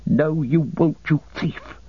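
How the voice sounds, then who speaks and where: A man's voice: lowish voice, animated, measured pace, husky, no room sound, highs cut off. A man voicing a wounded, despairing young hobbit in an audiobook, anguished and breathless, veering from weary to frantic. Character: wounded, despairing, feverish young male ring-bearer hobbit.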